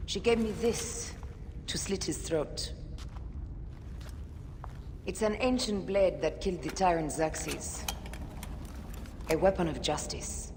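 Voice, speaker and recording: A woman voicing a fierce but loving assassin and avenging wife in a video game cutscene, with an intense, measured delivery. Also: mid-range voice, natural voice. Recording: reverberant hall, loud ambient noise.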